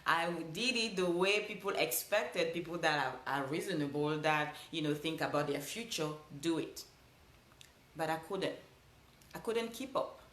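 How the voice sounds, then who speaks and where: A woman's voice: sits low, expressive, unhurried, a bit bright, reverberant. A woman with a Cameroonian accent giving an earnest motivational talk, repeating phrases for emphasis. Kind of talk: motivational speech.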